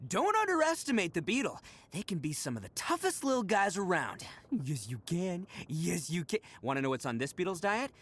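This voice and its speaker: A brash young oni gang boss from a video game. His voice is bright and punchy, leaping widely in pitch. He boasts in slangy, theatrical bursts, a goofy and cocky delinquent through and through. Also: sing-song, brisk, full character voice. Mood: boastful. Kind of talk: animated video game voice acting.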